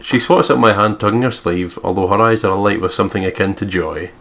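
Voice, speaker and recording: A man's voice: lowish voice, fast talker, fairly bright tone. A man co-hosting a let's play, reading visual novel narration aloud with joking asides.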